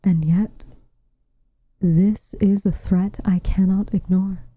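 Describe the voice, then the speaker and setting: A woman playing a proud, protective witch in an ASMR roleplay, speaking softly and formally, often dropping into a breathy whisper. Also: mid-range voice, steady tone, no echo. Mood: worried, frustrated. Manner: formal, measured, dramatic delivery. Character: protective, proud, frustrated witch, tender toward her lover.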